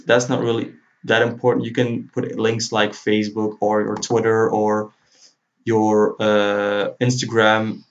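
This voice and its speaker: A man whose native language is Dutch, giving an informal screen-recorded software tutorial as he walks through each step. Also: fairly low pitch. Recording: dull lo-fi mic, faint noise. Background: computer keyboard typing and clicking.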